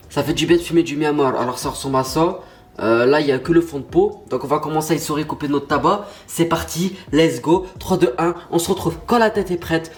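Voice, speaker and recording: A young man, stuffy from a cold, chatting casually and slangily to his viewers in a vlog. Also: fairly high pitch. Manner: slangy, pumped-up, talks straight to viewers. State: stuffy nose, has a cold. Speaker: YouTuber.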